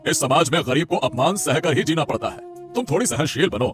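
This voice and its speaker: A man's voice: fairly high pitch, lively intonation, brisk, over music, close to an everyday voice. A man voicing a meek, resigned, poor middle-aged father in a cartoon story, pleading and soothing. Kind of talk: Hindi cartoon story dialogue.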